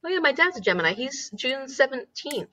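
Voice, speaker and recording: Nasal woman with a lively, bouncing voice. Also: high-pitched, fairly quick, noisy background. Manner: laughs often, searches for words. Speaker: host of a reading livestream. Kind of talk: casual chat between friends. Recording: dull, muffled audio.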